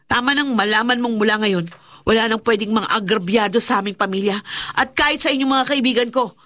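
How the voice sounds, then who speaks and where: A woman playing a defiant, vengeful young woman with dark powers in a Filipino radio horror drama, with a Filipino accent. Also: a little high, fairly quick, no room sound, clean studio sound. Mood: proud. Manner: intense, defiant.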